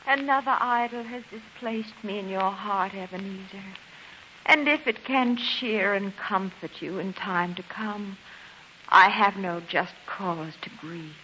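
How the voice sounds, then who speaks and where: A woman's voice: mid-range voice, unhurried, pushed and bright. A woman playing a sorrowful, resolute young maiden in a 1940s radio drama, earnest and mournful. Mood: sad. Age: young adult. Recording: dull hissy 1940s radio recording.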